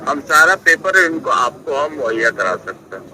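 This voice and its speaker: A man's voice: fairly high pitch, big pitch swings, moderate pace, a crowd in the background. An official answering a phone call, heard through a speaker at a protest. He defends himself and keeps repeating "sir" and that the papers were already given. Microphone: phone line played over a speaker.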